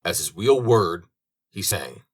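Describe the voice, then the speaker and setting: A man reading a storybook as an audiobook narrator, with expressive delivery. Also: deep voice, takes it slowly, breathy edge. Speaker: audiobook reader.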